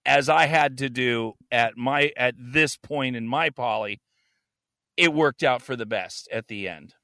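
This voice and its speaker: A man's voice: mid-range voice, unhurried, bright, forceful tone, no echo. A man co-hosting a podcast, talking casually with crude, sarcastic jokes and swearing. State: hot and sweaty. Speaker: podcast co-host. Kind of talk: casual chat.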